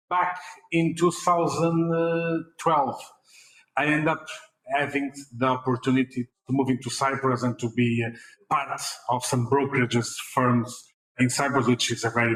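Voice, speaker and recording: Portuguese guy talking business, lots of uhs and restarts, middle pitch, roomy echo. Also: a bit bright. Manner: hesitant, many fillers and repeated words. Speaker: fintech CEO guest. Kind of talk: podcast interview answers.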